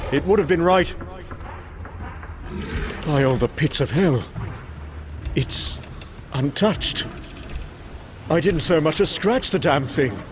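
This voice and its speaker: A man playing gruff, battle-hardened Norse thunder gods in a fantasy audio drama, theatrical and declamatory with archaic phrasing. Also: medium pitch.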